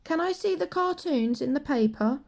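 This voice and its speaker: A woman's voice: sits high, expressive, normal speed, creaky, voice pushed up. A woman voicing an eager, pestering young girl in a children's storybook, with a pleading, whiny manner.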